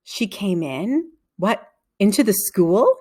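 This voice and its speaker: A woman's voice: medium pitch, big pitch swings, slow, warm tone, breathy edge, exaggerated voice. A woman voicing an inquiring man on a school staff in a children's story, asking questions.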